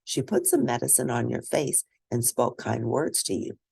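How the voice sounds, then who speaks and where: A woman voicing a calm old grandfather in a children's storybook, measured and reassuring. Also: a bass voice, gravelly, husky, no echo, full character voice.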